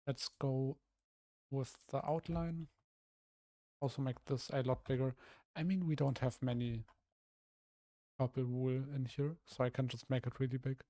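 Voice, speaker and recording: A young man from Germany talks through his building plans in a casual stream, his voice rough and a little hoarse. He thinks aloud, trailing off and restarting often, in a mellow, warm tone. The sound is dry and close, with no room around it. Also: medium pitch, dry recording. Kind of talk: casual live-stream commentary while building.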